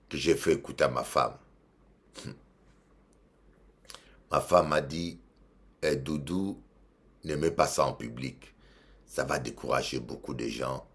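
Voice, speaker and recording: Deep-voiced Congolese bishop preaching. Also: male voice. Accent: Congolese French. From Democratic Republic of the Congo. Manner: emphatic, swings between loud exhortation and quiet asides, repeats phrases. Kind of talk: preaching sermon.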